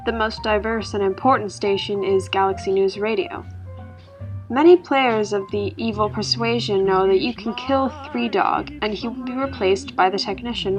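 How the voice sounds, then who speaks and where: A woman reading scripted narration as a storyteller, in a measured, explanatory manner. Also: mid-range voice. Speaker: narrator reading an online horror story about a video game. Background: music.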